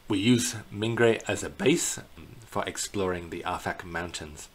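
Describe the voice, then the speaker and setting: A middle-aged man giving an unscripted slideshow narration as a tour guide, in a hesitant, halting way, recorded indoors on a computer microphone. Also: deep voice. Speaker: bird tour guide presenting a virtual tour. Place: indoors in a small plain room. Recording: clear background noise.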